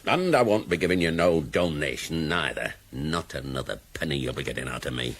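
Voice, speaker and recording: Very deep, gravelly bullying town boss. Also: male voice, lively intonation, fairly quick, fairly bright tone, a little hiss or hum, heavily acted. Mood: angry, scornful. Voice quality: gravelly, husky. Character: menacing, corrupt, bullying middle-aged male town boss. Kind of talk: radio crime drama acting.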